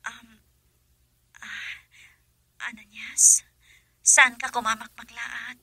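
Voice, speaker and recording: A woman acting a troubled, secretive young woman in a radio drama, breathy and hesitant. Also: on the high side, little pitch movement, takes it slowly, sharp tone, no room sound, exaggerated voice. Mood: evasive, cold.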